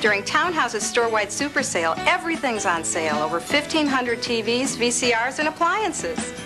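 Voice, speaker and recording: Animated high-voiced woman selling over music. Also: normal speed. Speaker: commercial announcer. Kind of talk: retail advertising pitch.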